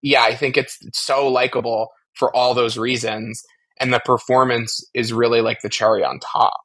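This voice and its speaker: A man's voice: mid-range voice, level tone, normal speed, a bit bright. Opinionated man chatting as podcast co-host. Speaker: podcast co-host discussing a TV franchise. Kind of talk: casual fan discussion.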